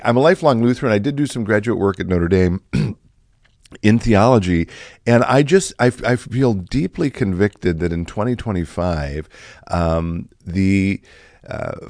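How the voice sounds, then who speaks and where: Middle-aged male pastor, low voice with a touch of rasp, conversational and unhurried, dry close-miked sound. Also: darkish tone, no echo. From Chicago area, USA. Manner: conversational, many fillers and restarts. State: slightly raspy from allergies. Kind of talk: reflective podcast talk.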